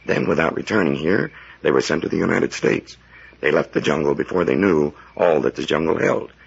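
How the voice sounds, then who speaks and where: An actor playing a grave scientist doctor in a 1940s radio adventure, speaking in a measured, formal, ominous way. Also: male voice, rumbling low, expressive, creaky, husky, heavily acted. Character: grave, trusting scientist doctor, foreboding of jungle evil. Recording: muffled vintage 1940s radio recording.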